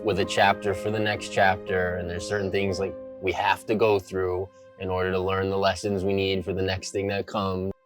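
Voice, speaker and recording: A man hosting an interview, relaxed and friendly, with plenty of fillers. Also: low voice, normal speed, hardly any pauses, soft background music. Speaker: interview host. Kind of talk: casual interview.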